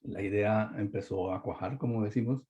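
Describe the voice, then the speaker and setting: A man presenting a webinar talk, halting with many fillers and restarts, over a video-call mic. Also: on the low side, warm tone. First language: Spanish. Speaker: webinar speaker. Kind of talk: hesitant presentation. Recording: dull lo-fi webinar audio.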